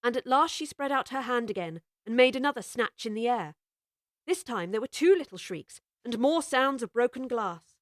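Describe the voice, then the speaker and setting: Lady reading storybook narration, kinda high voice, super dry close mic. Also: animated, normal speed, no echo.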